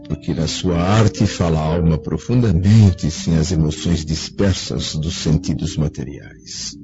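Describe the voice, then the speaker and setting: A man playing an aging, reflective Roman senator in a radio drama, with a grave, declamatory manner. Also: deep voice. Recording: dull lo-fi audio under music.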